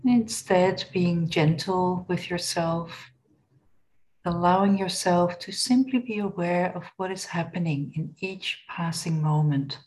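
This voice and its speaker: A woman leading a guided body scan meditation in a gentle, unhurried, instructional manner. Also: lowish voice, rough recording.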